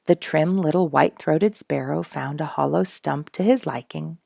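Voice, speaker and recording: Lady reading a nature storybook out loud, really gravelly low voice, expressive, kinda dramatic on key words. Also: normal speed, dry recording, clean studio sound. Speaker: storyteller reading a book aloud. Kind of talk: expressive narrated nature memoir for a family audience.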